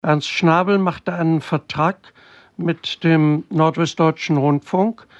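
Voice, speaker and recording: A man recollecting in an interview, choosing formal words in a halting way. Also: fairly high pitch, fairly even intonation. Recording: dull, muffled sound.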